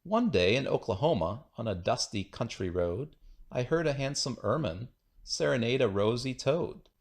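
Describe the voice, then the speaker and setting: A man's voice: medium pitch, animated, normal speed. A man reading children's rhyming poems aloud in a playful, singsong, rhythmic way. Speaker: children's book reader.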